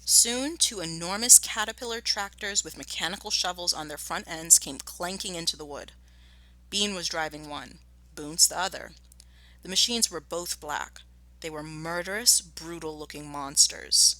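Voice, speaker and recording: A woman teacher giving a storybook read-aloud lesson, explaining and narrating in an expressive manner. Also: on the low side, moderate pace, no echo, low-quality recording. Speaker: primary school teacher.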